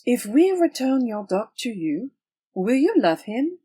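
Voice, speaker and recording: A woman's voice: on the high side, big pitch swings, unhurried, dark tone, slightly rough, no room sound, heavily acted. A woman playing a proud, teasing swashbuckling swordswoman in an audio drama, with a theatrical, imperious manner. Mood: resolute. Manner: theatrical, formal phrasing, imperious.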